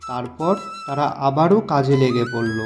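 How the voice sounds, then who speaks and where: A man's voice: mid-range voice, normal speed, over loud music. A man reading scripted narration for a cartoon story.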